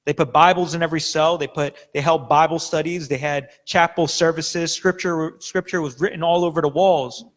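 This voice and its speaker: A man's voice: on the high side, steady tone, normal speed, few pauses. A young adult man preaching a sermon as a pastor, talking casually with fillers and restarts. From United States. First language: Korean. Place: church sanctuary.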